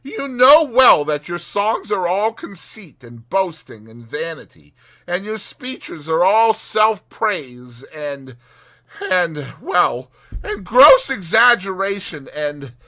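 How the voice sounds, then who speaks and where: A man's voice: high voice, very animated, slow pace, sharp tone, pitched above the performer's own voice, exaggerated voice. A man voicing a firm, earnest water rat who kindly scolds a friend in a dramatized audiobook. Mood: stern but caring. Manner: emphatic, admonishing.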